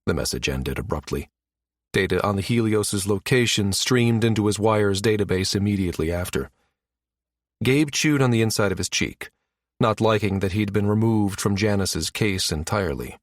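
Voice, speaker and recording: Brief: male narrator for sci-fi audiobook, lower register, very dry studio-tight sound. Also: expressive, normal speed, dry recording. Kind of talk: scripted narration of a science fiction story.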